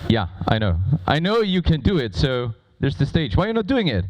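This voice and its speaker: Playful male moderator, animated non-native English. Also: fairly high pitch, fairly quick, poor audio. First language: not English. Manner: playful, bantering with audience; loud, projecting voice. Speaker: conference moderator. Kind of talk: informal live stage presentation. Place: conference stage before a large audience.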